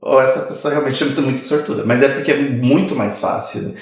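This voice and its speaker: A Brazilian man talks casually in a very echoey room. His pitch is average, and his delivery is chatty and halting, with English words mixed in. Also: steady tone. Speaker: guest immigration consultant. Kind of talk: casual podcast conversation.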